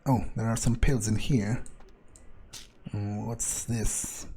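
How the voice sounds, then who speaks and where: A man giving in-character gameplay commentary, thinking aloud and muttering to himself in a halting, musing way. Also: lowish voice, steady tone, dark tone, husky. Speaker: let's player role-playing his game character.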